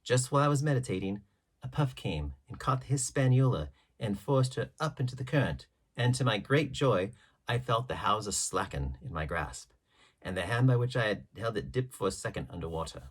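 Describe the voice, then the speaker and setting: A man's voice: lowish voice, animated, a little fast, slightly rough. A man reading a classic adventure story aloud as scripted literary narration. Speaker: audiobook reader.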